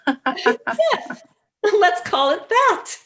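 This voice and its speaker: A woman playing a cheerful, gently defiant old lady in a staged play reading over a video call. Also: extremely high pitch, exaggerated voice. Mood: cheerfully stubborn. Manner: unhurried, expressive, gently chuckling.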